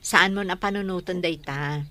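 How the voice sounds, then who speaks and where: A woman's voice: on the low side, moderate pace, bright, forceful tone. A woman with an Ilocano accent playing a hardworking, protective elder sister in a radio drama. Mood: caring.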